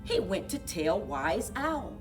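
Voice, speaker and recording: A woman reading a story aloud to young children at a library story time, in an expressive, deliberate manner. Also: medium pitch, distant mic, music in the background. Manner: expressive, deliberate, sing-along encouragement.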